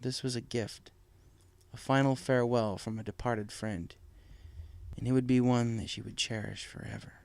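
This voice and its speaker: A man's voice: medium pitch, steady tone, normal speed, vocal fry, a little mellow, clear, resonant tone, no echo. A man reading scripted story narration as the narrator of a fan fiction audiobook. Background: music.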